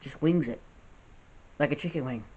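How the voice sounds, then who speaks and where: A man in his late twenties with a gravelly voice, talking earnestly and unscripted in a vlog, often restarting his sentences. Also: on the high side, moderate pace, darkish tone. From United States. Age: 20s. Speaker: vlogger. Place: house with poor lighting. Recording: tinny phone-like audio.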